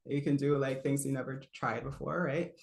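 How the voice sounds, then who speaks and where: A relaxed woman with a low voice coaches singers in a live online class. She chats easily, laughs often and breaks off to demonstrate sounds. The recording has a roomy echo around her. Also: moderate pace, vocal fry. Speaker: voice teacher. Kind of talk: live online singing lesson.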